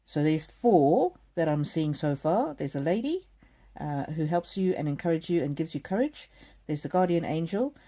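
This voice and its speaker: A soft-spoken middle-aged woman from South Africa hosts a live oracle card reading. Her voice sits low and mellow, and she talks in a gentle, soothing way straight to each viewer. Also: animated, moderate pace. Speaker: card reader.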